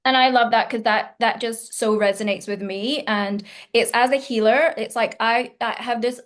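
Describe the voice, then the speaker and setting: Woman podcast host, highish voice, chatty and gushing, emphatic, on a video call. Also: steady tone, normal speed, fairly bright tone. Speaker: podcast host, healing teacher. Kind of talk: conversational interview talk. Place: room at home, indoors, video call.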